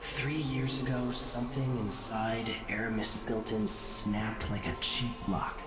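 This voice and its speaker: An actor playing a cryptic, aloof otherworldly spirit in a video game, speaking deliberately and ominously. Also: male voice, medium pitch, little pitch movement, close to an everyday voice. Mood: ominous, detached. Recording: echoing reverb, music underneath.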